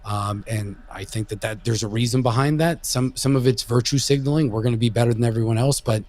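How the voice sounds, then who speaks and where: A man's voice: medium pitch, fairly quick, darkish tone. A man talking casually but earnestly as a podcast panelist, giving firm opinions. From Caribbean.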